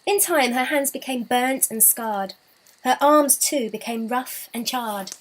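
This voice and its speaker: A woman reads a children's folktale aloud. Her voice is on the high side, and she tells it expressively, with deliberate, dramatic delivery. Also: a little hiss or hum, poor audio. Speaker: children's storybook reader. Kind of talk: expressive scripted storytelling.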